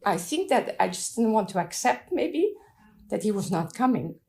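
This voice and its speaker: Middle-aged woman, French accent, from Afghanistan; soft, reflective personal testimony. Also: medium pitch, sing-song. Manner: thoughtful, soft-spoken, pauses and restarts while recalling. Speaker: interviewee telling her migration story.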